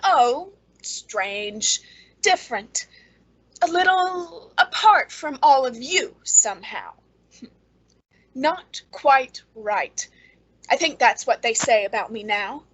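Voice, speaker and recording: Girl acting bitter clever woman in an old radio thriller, really high voice, brooding and kinda menacing. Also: lively intonation, takes it slowly, fairly bright tone, slightly rough, full character voice, rough recording. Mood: brooding, probing.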